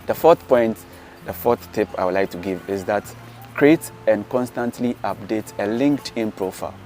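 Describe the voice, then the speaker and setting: A man from Ghana with a Ghanaian English accent, talking through career tips in a measured, explanatory way, often pausing with "uh" and "um". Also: fairly low pitch, darkish tone, faint music behind. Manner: measured, explanatory, frequent "uh" and "um" pauses. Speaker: vlogger, science teacher. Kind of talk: casual advice vlog. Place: outdoors in an apartment garden, later seated.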